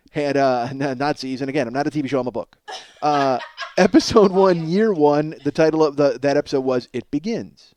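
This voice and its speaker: A man's voice: fairly high pitch, darkish tone, no echo. A man hosting a comedy podcast in a rambling, self-mocking monologue, ranting with profane exasperation.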